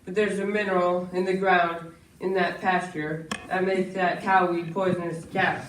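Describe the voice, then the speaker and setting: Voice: medium pitch, flat delivery, normal speed, a bit bright, lightly acted. A young performer playing a scheming, falsely genial swindler in an amateur stage melodrama, with theatrical, emphatic delivery. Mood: slyly persuasive. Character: smooth-talking scheming villain swindler, fake government man in top hat. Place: school stage, far camera in audience. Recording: echoey hall from far off, noisy.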